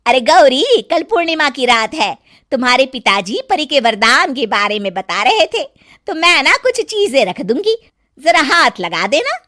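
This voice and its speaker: A woman's voice: high-pitched, very animated, moderate pace, pushed and bright, heavily acted. A woman voicing a greedy, pushy middle-aged village mother-in-law who wheedles and scolds in a cartoon. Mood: pushy, scolding.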